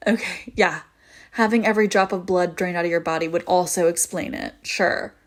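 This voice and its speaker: Wry, teasing young vampire woman, acted by a woman. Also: mid-range voice. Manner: casual, wry, teasing, swearing freely. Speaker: audio roleplay voice actor. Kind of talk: intimate scripted audio roleplay, conversational.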